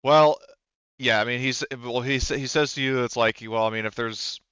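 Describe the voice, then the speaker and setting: A man narrating a tabletop roleplaying game as game master, in a halting, conversational way, rephrasing as he goes. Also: medium pitch, fairly even intonation, a bit bright, clear, resonant tone, no echo, lo-fi sound.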